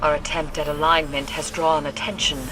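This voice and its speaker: A woman's voice: sits low, little pitch movement, normal speed, noisy background, lightly acted. An actress playing a grave, ominous mystic woman in a video game, speaking in a formal, cryptic, solemn manner. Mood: grave, foreboding. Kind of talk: dramatic video game acting. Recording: music behind the voice.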